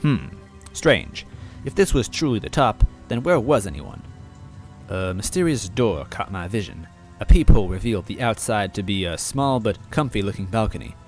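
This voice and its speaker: A man reading a first-person story aloud in expressive, scripted narration. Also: on the low side, normal speed, faint music behind. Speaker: story reader.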